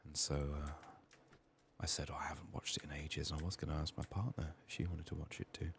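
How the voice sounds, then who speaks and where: A soft-spoken man murmuring through an ASMR game playthrough, often dropping into whispers, his voice a little strained from being sick. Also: a bass voice, little pitch movement, fairly quick, gravelly. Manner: murmured thinking aloud. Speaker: ASMR gameplay streamer. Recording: faint noise, keyboard typing and clicks. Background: keyboard typing, clicking, faint noise.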